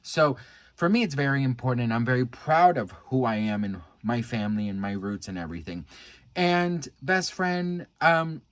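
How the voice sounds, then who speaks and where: A man's voice: medium pitch, very animated, moderate pace. An American man chatting casually and earnestly to his viewers as a vlogger, in a gushing, confiding way. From United States. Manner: gushing, earnest, addresses viewer as best friend. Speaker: vlogger commenting on celebrity news.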